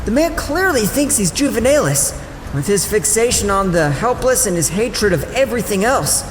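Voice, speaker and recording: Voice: medium pitch, very animated, normal speed, a little hiss or hum, close to an everyday voice. A performer voicing an eager, earnest boy superhero sidekick in an audio drama. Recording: echoey long reverb, faint music.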